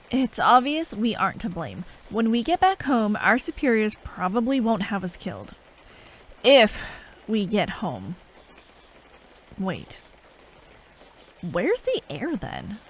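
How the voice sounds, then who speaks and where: A woman's voice: mid-range voice, a little hiss or hum, poor audio. Sardonic, swooping fantasy assassin played by a woman. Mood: resigned, wry, then wry, reflective. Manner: sarcastic, drawling, sweary, with sighs. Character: sardonic female fantasy assassin, gruff, impatient, grudgingly caring. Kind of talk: ASMR roleplay acting, addressed to the listener.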